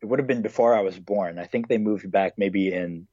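A man's voice: on the low side, a little fast, dark tone, no room sound, muffled recording. A man in his twenties who grew up in Hong Kong, answering interview questions in a casual, hesitant way with many fillers. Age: 20s.

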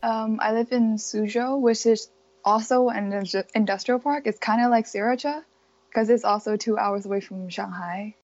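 A woman's voice: a little high, normal speed, darkish tone, dry recording. Young woman, slightly muffled dry recording. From Thailand. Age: young adult. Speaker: podcast guest, university student. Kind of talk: casual interview chat.

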